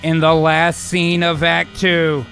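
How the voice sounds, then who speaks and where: A man's voice: fairly high pitch, monotone, takes it slowly, gravelly, a bit bright, soft background music. A man hosting and narrating an improvised radio drama, switching between theatrical storytelling and hyped, sweary casual talk. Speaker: host and narrator.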